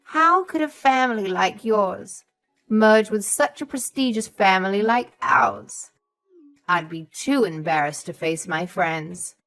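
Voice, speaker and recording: A woman's voice: medium pitch, expressive, slow, faint music behind, rough recording. A woman playing a haughty, suspicious elderly mother-in-law, scornful and condescending, in a scripted audio story. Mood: disdainful. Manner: condescending, sharp, calls others sweetheart and darling.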